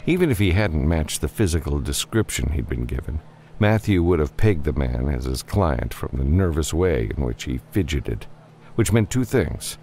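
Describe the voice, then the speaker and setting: A man narrates fiction in an extremely low, creaky voice. His pitch moves around in a lively way as the scripted prose unfolds. A faint noise sits under the recording. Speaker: audiobook narrator.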